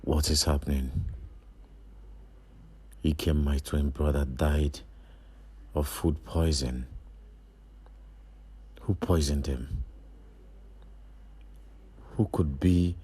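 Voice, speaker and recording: An actor playing a cold, brooding man in a film monologue, in a husky voice. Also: extremely low pitch, fairly even intonation, slow, pauses often, warm tone, heavily acted. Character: brooding, cold man musing on twin's death.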